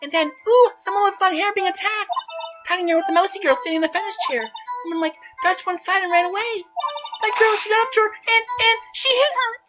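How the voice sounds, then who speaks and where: Cutesy ditzy game witness girl, super high and bouncy, loud music behind. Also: a little fast, poor audio. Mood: breezy, dramatic. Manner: girlish filler-laden talk, coy baby words. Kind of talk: video game fandub acting.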